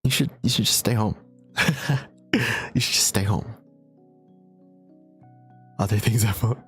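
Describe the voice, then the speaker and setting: A young man chats through a livestream Q&A in an easy, rambling way, laughing often. His voice sits a little low, with a gravelly, husky texture. Soft music plays faintly behind him. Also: steady tone, unhurried. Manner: laid-back, chatty, laughs often, many fillers. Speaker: streamer. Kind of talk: casual livestream Q&A chat.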